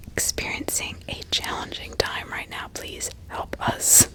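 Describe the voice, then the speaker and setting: A woman whispering ASMR true-crime commentary in a breathy, unvoiced, conversational way with emphatic repetition. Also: low-quality recording. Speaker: ASMR host.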